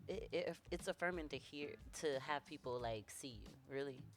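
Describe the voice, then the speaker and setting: Woman host, strikingly low register, affirming casual talk, faint music beneath. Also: moderate pace. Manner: affirming, conversational. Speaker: podcast host. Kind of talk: casual podcast conversation.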